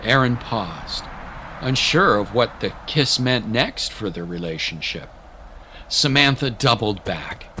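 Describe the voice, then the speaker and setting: A man narrating a dramatic scripted story in a measured, solemn manner. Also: lowish voice, animated, bright, forceful tone, slight background noise, low-quality recording. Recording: dramatic music bed. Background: music.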